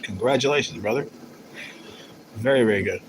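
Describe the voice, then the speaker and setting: A man's voice: on the low side, fairly quick, slight background noise, poor audio. A man hosting a casual livestream, chatting in a rambling, teasing way as he reads viewer comments. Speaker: livestream host.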